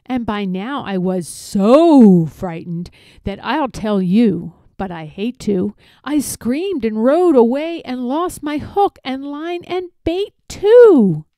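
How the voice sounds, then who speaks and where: A woman's voice: on the high side, animated, slow, mellow, no echo. A woman playing a timid storybook character who boasts of bravery but frightens easily, speaking with nervous, dramatic emphasis. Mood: frightened.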